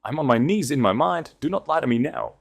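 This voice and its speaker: A man's voice: medium pitch, animated, fairly quick, vocal fry, pitched above the performer's own voice. A man voicing a desperate, broken nobleman with a ragged, shaking voice. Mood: pleading.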